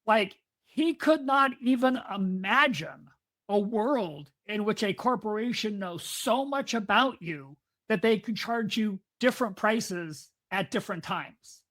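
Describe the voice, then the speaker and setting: A woman's voice: mid-range voice, lively intonation, a little slow, fairly bright tone. A woman co-hosting a podcast in casual discussion, explaining ideas at length and drifting into side topics. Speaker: podcast co-host.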